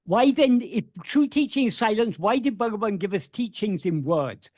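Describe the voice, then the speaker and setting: A man's voice: high voice, lively intonation, normal speed, darkish tone, no echo, studio quality. A man answering questions about spiritual teachings over a video call, earnest and restarting words as he searches for phrasing.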